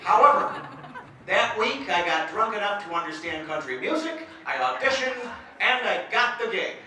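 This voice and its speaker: A man's voice: high-pitched, animated, moderate pace, bright, forceful tone. A middle-aged man giving a comedic stage talk, with a slight stutter and self-mocking jokes. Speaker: motivational speaker. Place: presentation hall. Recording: echoey hall, mic from far off.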